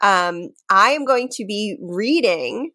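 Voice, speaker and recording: A woman co-hosting a comedy podcast in casual chat, playful and teasing, quick to laugh. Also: medium pitch, animated, bright, forceful tone, no room sound, clean studio sound. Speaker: podcast co-host.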